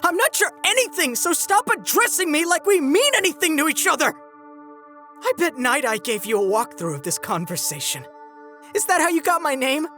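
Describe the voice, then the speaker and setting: A woman plays a teenage boy turned villain in a comic dub, bitter and scornful. The voice is high and bright, with an edge of sarcasm and intense, biting delivery. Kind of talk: fan comic dub voice acting.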